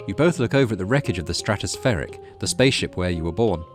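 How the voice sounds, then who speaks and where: A man's voice: low voice, lively intonation, fast. A man hosting a gaming video, reading game text aloud like a storybook and adding casual asides. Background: music.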